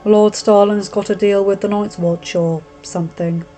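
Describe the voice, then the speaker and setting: A young working-class blacksmith, blunt and short with his words, matter-of-fact even under pressure, as a woman narrator voices him close to her own tone. He says little and means it. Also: medium pitch, normal speed, faint music behind, close to an everyday voice. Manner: terse, plain-spoken, matter-of-fact. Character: gruff young lowborn male blacksmith, reserved and blunt.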